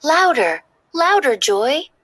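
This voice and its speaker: Woman as an excitable high-pitched imaginary friend. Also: slow, heavily acted. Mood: urgent shouting. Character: loyal, cheering male imaginary friend, excitable and big-hearted.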